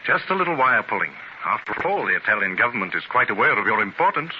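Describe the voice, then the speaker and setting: Through a noisy vintage radio transcription comes a deferential, admiring private secretary. His lowish voice moves expressively up and down, polite and theatrical in 1930s style. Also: very animated, fast, highs cut off. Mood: modest. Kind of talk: 1930s radio drama acting.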